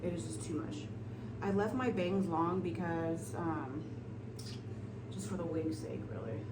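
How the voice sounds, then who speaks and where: A woman's voice: lowish voice, a little slow, echoey room, distant mic. A woman vlogging casually, chatting and telling stories from home and her car. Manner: chatty, storytelling, sometimes whispering. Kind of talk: casual vlog chat. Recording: vehicle noise in background.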